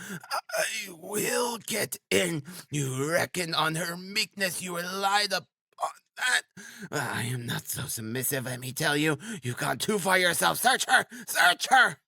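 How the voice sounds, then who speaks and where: A frantic, impoverished widow in a dramatic audiobook. The voice is pushed high and wails with defiance and desperation, stretching its cries, in a very dry recording. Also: male voice, animated, gravelly, pushed and bright, dry recording, full character voice. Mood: defiant fury. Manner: wailing, dramatic, breaks into drawn-out cries. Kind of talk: dramatic audiobook character acting.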